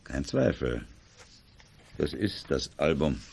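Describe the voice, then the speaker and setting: A middle-aged actor playing an impatient auction house boss in a radio play, formal and fussy. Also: male voice, extremely low pitch, expressive, slow pace, gravelly, darkish tone, heavily acted. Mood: composed. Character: excitable adult stamp auction dealer, impatient boss. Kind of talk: 1980s German radio play acting. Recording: faintly noisy 1980s audio drama tape.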